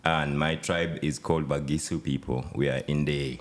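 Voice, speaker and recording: A man in his thirties with a Ugandan accent, his voice sitting extremely low. He talks as a podcast guest, relaxed and unhurried, pausing often on "uh" and "um" as he looks for words. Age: 30s. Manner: relaxed, hesitant with many fillers. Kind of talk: casual interview chat.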